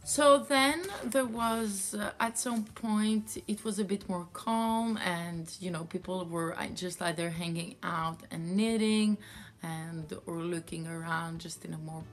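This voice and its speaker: A woman's voice: lowish voice, a little slow, faint music behind. A woman from France, a yarn dyer telling a story in a casual vlog, rambling with many fillers.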